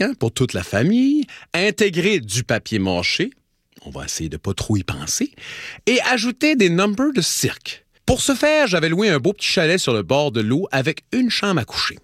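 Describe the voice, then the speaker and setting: Lively Acadian radio host telling stories. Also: male voice, fairly high pitch, no echo, clean studio sound. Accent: Acadian French. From Acadian New Brunswick, Canada. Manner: playful, wry anecdotal delivery with dramatic pauses. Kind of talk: radio storytelling.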